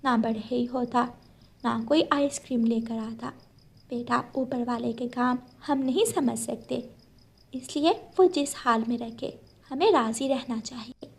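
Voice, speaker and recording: A woman voicing a gentle, patient, humble mother bird in a cartoon moral story, soft-spoken and soothing. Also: a little high, a little slow, creaky, husky, full character voice. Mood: patient, consoling.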